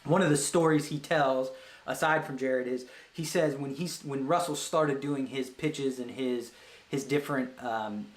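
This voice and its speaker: A man's voice: medium pitch, measured pace. A man hosting a business book read-along, explaining ideas casually and thinking aloud in a rambling way. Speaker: host of a business book read-along show, web agency owner. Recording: roomy, echoey sound.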